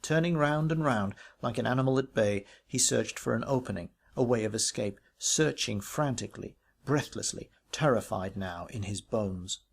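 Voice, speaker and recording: A man reading a ghost story aloud as literary narration, in a measured, expressive way with varied emphasis. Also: medium pitch, creaky, breathy edge. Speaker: audiobook reader.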